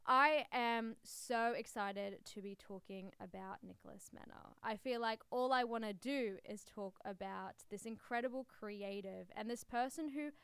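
A woman's voice: a little high, normal speed, hardly any pauses, a bit bright, clear, resonant tone, dry recording. A woman presenting on radio, reading an upbeat station promo and introduction. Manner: eager, upbeat.

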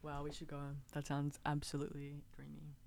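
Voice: medium pitch, completely flat delivery, a little fast, gravelly, darkish tone. An enthusiastic, chatty co-host welcoming listeners back on a casual radio show.

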